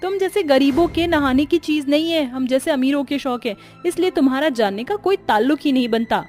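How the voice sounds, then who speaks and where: A woman's voice: sits high, animated, brisk, darkish tone, soft background music. A woman voicing a haughty, indignant young rich daughter-in-law in a cartoon story, scornful and complaining. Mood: mocking.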